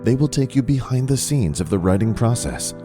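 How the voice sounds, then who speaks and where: A man's voice: medium pitch, animated, brisk. A man announcing a scripted show introduction. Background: guitar music.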